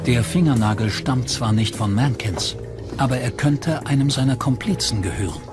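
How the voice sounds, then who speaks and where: A man's voice: medium pitch, moderate pace. Male true crime narrator over prominent music. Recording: music bed under voice.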